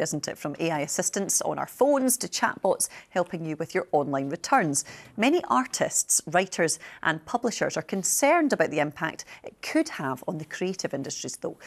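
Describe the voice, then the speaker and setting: A woman presenting a regional news programme, chatty, with tag questions to viewers and guests. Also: fairly low pitch. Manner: conversational, adds tag questions. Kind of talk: news presenting and live interview.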